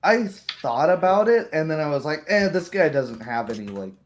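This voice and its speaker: A man's voice: fairly high pitch, lively intonation, normal speed, slight background noise. A man who runs a tabletop game, talking casually in a group discussion.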